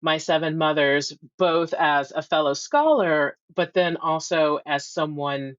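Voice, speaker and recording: A woman's voice: low-pitched, steady tone, unhurried, dry recording, lo-fi sound. A middle-aged woman, a history professor, interviewing in a thoughtful, rambling way with many ums. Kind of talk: conversational interview.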